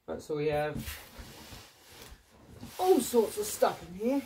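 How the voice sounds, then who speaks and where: A man's voice: high voice, very animated, takes it slowly, recorded from a distance, background noise. A young man with a stuffy nose from a cold, chatting in a rambling way as he unboxes old gear in a cluttered room. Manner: chatty, rambling, frequent fillers. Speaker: vlogger. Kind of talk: casual unboxing vlog.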